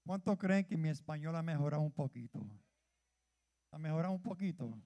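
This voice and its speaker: Fervent high-voiced man preaching. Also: expressive, brisk, mellow. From Puerto Rico. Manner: fervent, calls out questions to the congregation, stumbles over words. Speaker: church leader preaching. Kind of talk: sermon.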